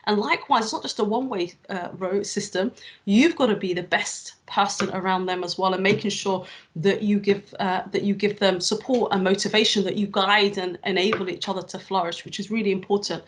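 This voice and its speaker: A woman's voice: medium pitch, steady tone, brisk. A middle-aged woman on a panel, giving students heartfelt, motivating advice with many restarts. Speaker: panel speaker, community leader.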